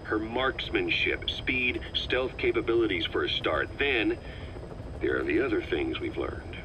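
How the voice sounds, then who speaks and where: An actor playing a calm, calculating middle-aged military officer in a video game, speaking with measured, persuasive assurance. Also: male voice, fairly low pitch, noisy background. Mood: measured, explanatory. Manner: measured, assured, reasoning patiently. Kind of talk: dramatic game voice acting.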